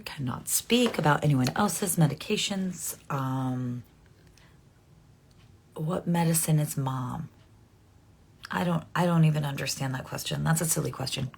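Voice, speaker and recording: Chatty woman in her forties, low gravelly voice. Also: a little hiss or hum. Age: 40s. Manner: chatty, rambling, self-correcting with frequent ums. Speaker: livestream host. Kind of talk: casual live chat with viewers.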